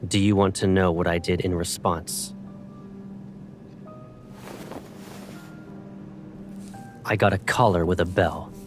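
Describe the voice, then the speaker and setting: An actor playing a possessive, protective young military colonel in a video game, speaking with controlled, intimate intensity. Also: male voice, low-pitched, steady tone, normal speed, over music, natural voice. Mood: quietly menacing. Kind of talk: dramatic video game cutscene acting.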